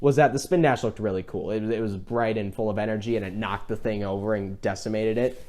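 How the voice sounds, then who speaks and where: Male podcast co-host, casual film-chat style, animated and rambling storyteller. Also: fairly low pitch, fairly quick.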